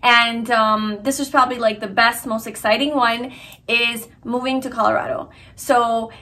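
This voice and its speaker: A woman's voice: a little high, flat delivery, normal speed, pushed and bright, slight background noise. A young woman from Hungary talking casually and earnestly to her vlog viewers, with lots of fillers and restarts. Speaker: vlogger. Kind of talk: casual personal vlog talk.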